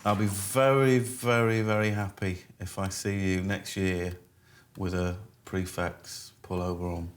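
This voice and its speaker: Man, lowish voice, school staff, firm yet encouraging with a pupil, faint clicks. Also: steady tone, a little slow, slight background noise. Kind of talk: talking to a pupil.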